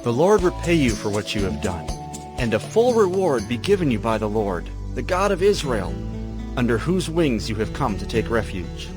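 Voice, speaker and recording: An actor plays a kindly older landowner, a man of faith and authority. His delivery is solemn and gentle with a very lively melody. Music plays under this biblical drama performance. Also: medium pitch, normal speed, close to an everyday voice. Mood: solemn blessing. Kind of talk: dramatized scripture audiobook acting.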